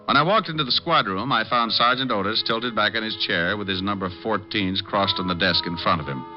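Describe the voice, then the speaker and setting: An actor playing a breezy, cocky private detective who teases and narrates wryly in an old-time radio drama. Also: male voice, lowish voice, brisk, over music, natural voice. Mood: wry narration. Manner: teasing, flippant, wry. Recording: muffled vintage radio recording.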